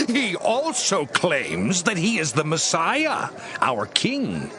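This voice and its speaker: An actor playing an agitated council member who urgently accuses a prisoner in a radio drama. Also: male voice, sits high, wildly swooping pitch, drawn-out words, exaggerated voice. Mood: accusing. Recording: crowd murmur and street noise.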